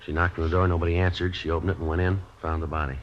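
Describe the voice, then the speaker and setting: A man playing a terse American detective sergeant in a 1950s radio drama, clipped and deadpan in both narration and dialogue. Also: low voice, rapid-fire, close to an everyday voice. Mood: terse, matter-of-fact. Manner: clipped, matter-of-fact, deadpan. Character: terse, businesslike male police detective sergeant. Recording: dull, noisy old radio recording.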